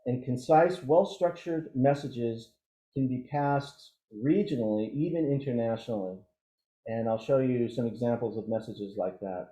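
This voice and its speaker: Very mellow-voiced man teaching, roomy sound. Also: mid-range voice, normal speed. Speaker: emergency radio trainer. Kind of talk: informal instructional presentation. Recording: dull, roomy, echoey audio.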